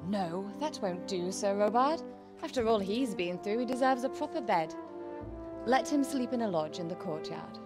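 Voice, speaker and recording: A woman acting a gracious, kind medieval lady in a video game cutscene, gentle and genteel in manner. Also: medium pitch, animated, moderate pace, natural voice. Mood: gently firm. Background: music.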